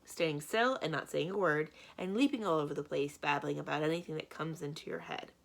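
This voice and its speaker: A woman reading a children's book aloud as a library storyteller, with expressive narration. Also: low voice, brisk, gravelly.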